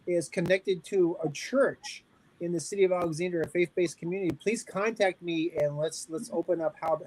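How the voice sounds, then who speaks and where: A man's voice: a little high, darkish tone, rough recording. A man in his early forties speaking earnestly on a panel, often restarting his sentences. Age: 40s. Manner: earnest, halting with frequent restarts. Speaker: panel speaker on community health planning. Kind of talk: panel discussion.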